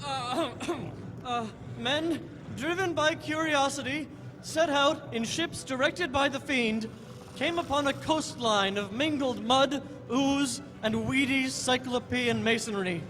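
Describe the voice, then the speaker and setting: Man as fretful, very high-pitched haunted writer. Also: slow pace, a bit bright, full character voice. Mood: ominous reciting. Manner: florid, fretful, melodramatic. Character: struggling nightmare-plagued male writer, fearful, morbid, high-strung. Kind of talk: live radio-play stage acting.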